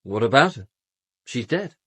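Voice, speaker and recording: A louche upper-class British gentleman in a radio drama, glib and flippant. The mid-pitched voice is husky, with a sardonic, casual delivery, captured dry and close. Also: sing-song, moderate pace, dry recording. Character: flippant, louche upper-class brother-in-law, a male suspect.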